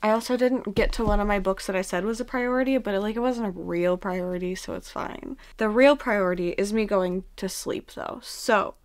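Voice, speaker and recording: A hoarse, exhausted woman vlogging casually at home late at night, talking in a tired, rambling half-whisper. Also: medium pitch, moderate pace, few pauses. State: exhausted from no sleep. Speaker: book vlogger.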